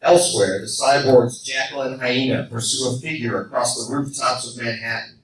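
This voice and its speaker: An actor narrating a radio play, reading scripted scene-setting lines. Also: male voice, mid-range voice, steady tone, moderate pace, close to an everyday voice. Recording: echoey hall fan recording, distant, faint noise.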